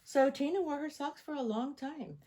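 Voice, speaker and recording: A woman reading a picture book aloud to children in an expressive, animated storytelling style. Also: high-pitched, normal speed, a little hiss or hum. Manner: animated, repeats sound words.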